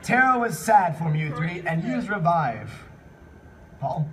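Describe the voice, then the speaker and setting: An excitable man hosting a live comedic fan panel, reading and chatting with the audience, often bursting into shouts and laughter. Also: a little high, slow pace, a crowd in the background. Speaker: panel host and fanfic reader. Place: convention panel room. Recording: distant mic in noisy convention room.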